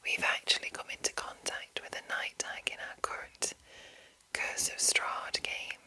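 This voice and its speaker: A woman whispering in a breathy, unvoiced voice as an ASMR host, reading deliberately with soft enunciation. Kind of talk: whispered ASMR reading of a game manual with casual asides.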